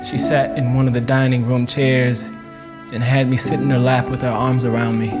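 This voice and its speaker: An actor playing a wounded, reflective man recounting a painful childhood memory in a theatrical memoir monologue, with deliberate pauses. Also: mid-range voice, lightly acted. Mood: hurt, remembering. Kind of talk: filmed dramatic monologue reading, first-person memoir.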